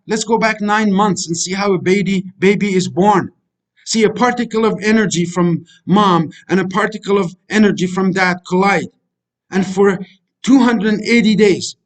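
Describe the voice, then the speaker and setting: A middle-aged man whose native language is Kurdish, lecturing as a life coach in a preachy, repetitive way and calling the listeners friends. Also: high voice. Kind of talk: motivational voice-over lecture over slides.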